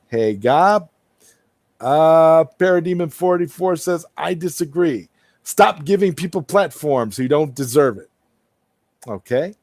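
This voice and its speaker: Higher-voiced man hosting a casual livestream. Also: a little mellow, dry recording. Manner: repeats and rephrases phrases as he thinks aloud. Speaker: livestream host. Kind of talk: casual livestream commentary, reading out and answering chat comments.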